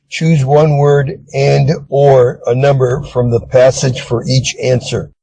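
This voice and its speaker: American man reading exam material deliberately. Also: medium pitch, dark tone, slightly rough, low-quality recording. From Arizona, United States. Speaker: reader of test material. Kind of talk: scripted reading of exam questions.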